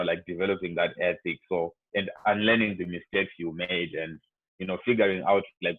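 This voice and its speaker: Young Zimbabwean man, low voice, chatty and rambling student speaker, dry tinny video-call sound. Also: no echo. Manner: rambling, heavy on "like" and "you know". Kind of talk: casual talk to students over a video call.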